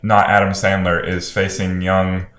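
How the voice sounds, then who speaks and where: A man's voice: deep voice, monotone, unhurried, roomy sound, background noise. A man co-hosting a casual movie discussion with wry, wordy phrasing. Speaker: podcast co-host.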